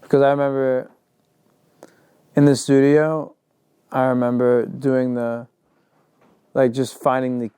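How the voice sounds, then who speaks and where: Young rapper talking loosely, smooth voice. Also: male voice, mid-range voice, flat delivery, measured pace, pauses often, dark tone, no echo. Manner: loose and rambling, heavy on "like". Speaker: rapper and singer. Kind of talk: casual interview.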